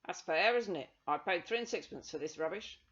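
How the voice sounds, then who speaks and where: Woman doing a loud, blustering brawny man. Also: lowish voice, lively intonation, brisk, dull audio. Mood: angry. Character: brawny angry man leading a crowd.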